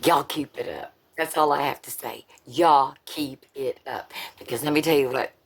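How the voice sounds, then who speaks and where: A woman's voice: rumbling low, fairly even intonation, normal speed, slightly rough. A woman who hosts her own channel, giving a blunt, sarcastic political monologue full of profanity and restarts. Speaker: political commentary host. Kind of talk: casual opinion monologue.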